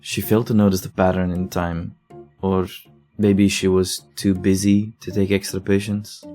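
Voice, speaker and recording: Actor as deep-voiced, sombre case-file narrator. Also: male voice, flat delivery, normal speed, dark tone, faint music behind, natural voice. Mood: wry, unsettled. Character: sombre male investigator presenting mysterious case files. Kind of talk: scripted horror audio drama narration.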